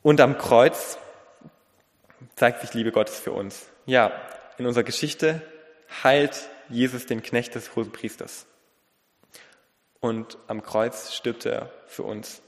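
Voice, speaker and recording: A man delivering a sermon in an earnest, deliberate way, in short phrases with pauses and a slight lisp. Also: medium pitch, gravelly. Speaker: preacher. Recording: long reverberant hall.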